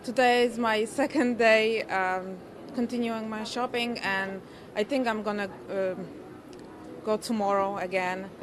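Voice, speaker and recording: A woman giving halting, unscripted answers as a shopper in a news interview. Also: mid-range voice, fairly even intonation. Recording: noisy vox pop with clear background din.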